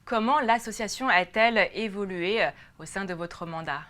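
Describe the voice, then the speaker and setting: A woman's voice: medium pitch, normal speed, fairly bright tone. A woman working as a television interviewer, putting formal, prepared questions in a polite, courteous way. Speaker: TV interviewer and host.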